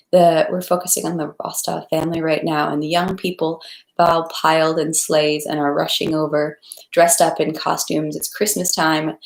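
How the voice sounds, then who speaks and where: Woman, lowish creaky voice, informal read-aloud host, expressive storytelling, roomy echoing home recording. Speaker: host reading a novel aloud for online viewers. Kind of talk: casual introduction, then expressive storybook narration.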